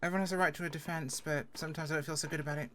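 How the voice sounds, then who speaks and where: Online game roleplay: firm female public defender, acted by a woman, quick clipped delivery, sharp tone, noisy background. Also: sits low, steady tone. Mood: matter-of-fact, weary. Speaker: streamer and roleplayer.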